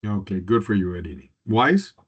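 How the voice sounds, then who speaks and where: A man teaching an online business class, halting and repeating words as he calls on students. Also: lowish voice, big pitch swings, slightly rough. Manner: halting, repeats words, drops into Spanish and Italian. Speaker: instructor. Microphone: video call. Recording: muffled video call audio.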